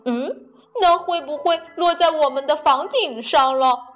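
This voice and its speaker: A wide-eyed little frog boy from a children's storybook, high-pitched and slow, stretching his words in dreamy wonder, as a woman puts him on. Faint music plays softly behind him. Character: small cheerful male frog, dreamy and curious.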